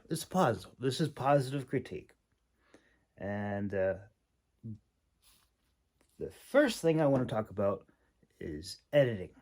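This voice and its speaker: A man giving an informal, rambling critique as a host, speaking haltingly with long pauses and repeated words. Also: fairly low pitch, darkish tone. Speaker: host, independent fantasy writer and audiobook reader giving critique.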